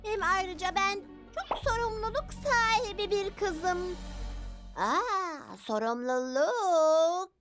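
A woman's voice: extremely high pitch, animated, takes it slowly, exaggerated voice. A woman voicing a cocky, sarcastic, grumbling little schoolgirl superhero in a cartoon dub. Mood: scheming, smug. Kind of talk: animated cartoon dub acting. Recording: music playing behind the voice.

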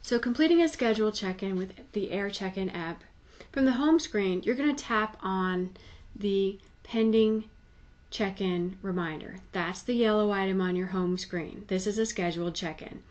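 A woman's voice: medium pitch, normal speed, darkish tone. A woman giving a conversational, explanatory tutorial voice-over in a plain-spoken, instructive manner. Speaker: tutorial presenter.

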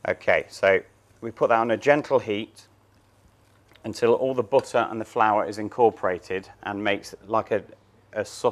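Chef explaining steps while cooking. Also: male voice, fairly low pitch. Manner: plain, step-by-step explaining while working. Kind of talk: cooking demonstration. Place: large showroom kitchen, indoors.

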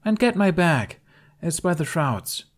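A man's voice: fairly high pitch, sing-song, measured pace, pitched above the performer's own voice. A man voicing a feisty young woman, a Viking thief who is defiant yet pleading, in a storybook reading. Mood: commanding.

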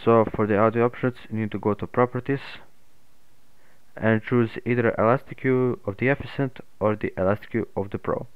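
Guy doing a tutorial, phone-like narrow audio, kinda halting, smooth voice. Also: on the low side, fairly even intonation, no room sound. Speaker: tutorial host. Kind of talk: unscripted software tutorial narration.

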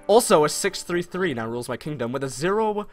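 Chatty young Canadian man, higher voice. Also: lively intonation, fairly quick. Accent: Canadian English. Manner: teasing, chatty, laughs at own jokes. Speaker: gamer playing multiplayer strategy. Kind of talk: casual gameplay chat. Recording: faint game music behind.

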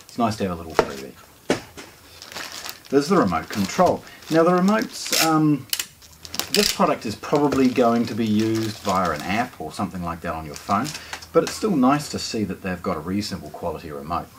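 A man's voice: fairly low pitch, big pitch swings, normal speed, background noise. A man presents a product in a casual, plain-spoken unboxing style, talking to camera indoors. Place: indoors, small showroom with shelves.

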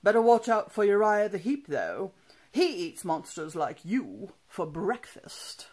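A woman's voice: mid-range voice, big pitch swings, measured pace, darkish tone, slightly rough. A woman voicing a sulky, grudging skeleton in a storybook, grumbling and put-upon. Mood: sulky, warning. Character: sulky, grudging male skeleton who can't be bothered.

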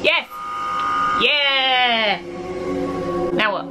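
A woman's voice: high voice, animated, extremely slow, loud music behind the voice. A woman in her thirties giving casual, reactive gameplay commentary, self-mocking and talking to herself and the game. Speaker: gamer hosting a playthrough. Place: small furnished room at home, indoors.

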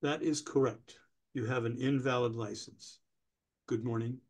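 A man in his sixties playing a pedantic, obstructive older DMV clerk in a staged comedy reading, officious, deadpan and stalling. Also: mid-range voice, measured pace, natural voice. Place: indoors, on a video call.

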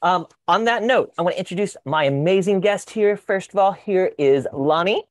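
A man in his thirties hosting a casual online show over a video call, excitable and gushing, quick to laugh. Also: high voice, no echo, clean studio sound. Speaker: host. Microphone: headset, video call.

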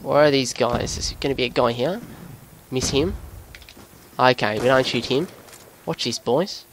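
A man putting on an Australian accent as an excitable, sweary bloke giving live video game commentary in a voice pushed higher than usual. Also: normal speed, slight background noise, lightly acted. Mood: sarcastic, frustrated. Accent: put-on Australian. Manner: excitable, sweary, breaking into giggles at himself. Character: excitable Australian bloke gamer, jokey larrikin.

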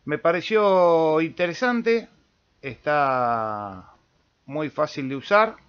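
Unhurried Argentine man, slightly dull recording. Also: a little high, a bit bright, clean tone. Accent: Argentine Spanish. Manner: thinking aloud while demonstrating, checking in with "¿eh?". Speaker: amateur radio video tutorial host. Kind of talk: informal screen-share software tutorial.

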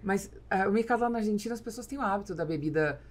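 A woman's voice: mid-range voice, racing. A woman in her forties with a Brazilian accent, hosting a conversational business interview at a close studio mic. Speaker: podcast host. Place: small foam-treated podcast studio. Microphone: studio mic on boom arm, close.

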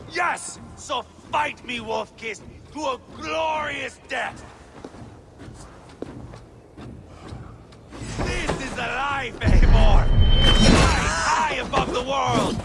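Sadistic Viking warrior, theatrical game villain, gravelly, wildly swooping delivery, taunting and battle-hungry. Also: male voice, very high-pitched, very animated, slow, full character voice. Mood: frenzied glee. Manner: theatrical, taunting, swinging from hushed to shouting. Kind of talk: dramatic video game acting. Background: music.

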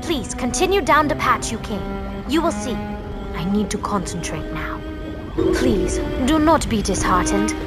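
An actress playing a mysterious young swamp mystic in a cartoon game, speaking in a grave, measured, cryptic way. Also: female voice, a little high, lively intonation, over loud music. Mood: solemn, commanding. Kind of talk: video game cartoon acting.

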